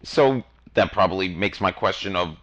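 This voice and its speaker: A man's voice: low-pitched, moderate pace, a bit bright. A man co-hosting an interview, asking rambling, hesitant questions. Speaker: radio co-host.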